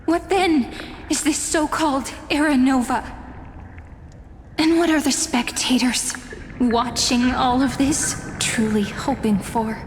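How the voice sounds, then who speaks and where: An actress voicing a sorrowful young heroine in a game cutscene, soft and reflective. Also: female voice, high-pitched, steady tone, takes it slowly, big-room sound, a little hiss or hum. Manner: soft, mournful, reflective delivery with sighs.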